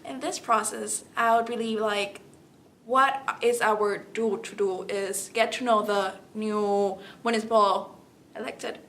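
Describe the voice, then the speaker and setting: A woman's voice: medium pitch, fairly even intonation, takes it slowly, a bit bright. Young Salvadoran-accented woman, noisy recording. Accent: Salvadoran Spanish. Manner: earnest, non-native phrasing. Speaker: student election candidate. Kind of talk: candidate interview, campaign pitch.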